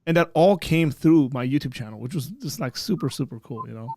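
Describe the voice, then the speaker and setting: A man's voice: medium pitch, animated, brisk, mellow, no echo, studio quality. A man in his thirties hosting a live stream in casual chat, rambling with many fillers and restarts. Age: 30s. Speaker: host of a live birthday stream. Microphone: streaming setup with green screen.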